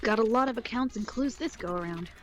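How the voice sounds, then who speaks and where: A woman's voice: a little high, fairly quick, soft background music. A woman chatting casually with friends during a video game playthrough. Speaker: voice-reader in a group game playthrough. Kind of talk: casual banter.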